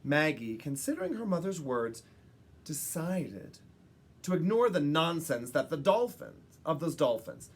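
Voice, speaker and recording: A woman narrates a storybook for young children. Her pitch swings widely and her tone has a husky, breathy edge. She leans on key words and leaves dramatic pauses. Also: big pitch swings. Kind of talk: expressive picture-book read-aloud.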